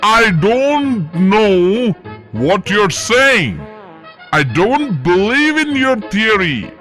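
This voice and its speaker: A carefree, lazy grasshopper for a children's cartoon. The voice is raised high and very slow, stretching words in a lively sing-song, with faint music behind. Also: male voice, exaggerated voice. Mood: merry, dismissive. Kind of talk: acted children's animated story.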